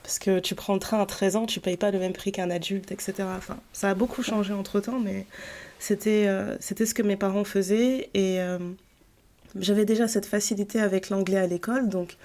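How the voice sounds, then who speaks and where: A woman from northern France, in her thirties, speaks with a warm, mellow voice at an everyday pitch. She tells her story in a relaxed, rambling way, full of fillers and restarts, as a podcast guest. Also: brisk. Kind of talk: casual storytelling chat.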